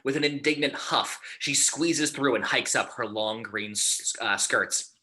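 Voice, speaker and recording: A man's voice: mid-range voice, measured pace, sharp tone. A man reading stage directions aloud as the narrator of a script.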